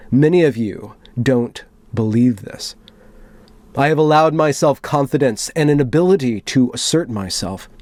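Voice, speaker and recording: A man reading a written post aloud as a commentary vlogger, switching between deliberate, dramatic delivery and wry, conversational asides. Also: medium pitch, darkish tone, no echo.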